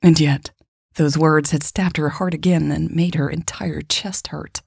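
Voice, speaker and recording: A woman's voice: low voice, fairly even intonation, unhurried, creaky, darkish tone, breathy edge, no echo, clean studio sound. A woman hosting a podcast and reading scripted fiction as its narrator. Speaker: podcast host and author narrating her story.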